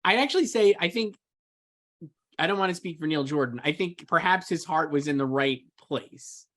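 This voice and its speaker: A man's voice: fairly high pitch, lively intonation, normal speed, a bit bright. A man co-hosting a casual film discussion, talking emphatically and loosely with lots of repeated filler words. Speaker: co-host, film awards editor. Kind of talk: casual chat, film commentary.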